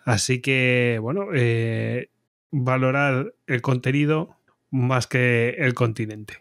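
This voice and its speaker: A man hosting a podcast, introducing a recorded talk in a relaxed, apologetic way with fillers. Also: medium pitch, slow, smooth, dry recording, clean studio sound. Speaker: podcast host.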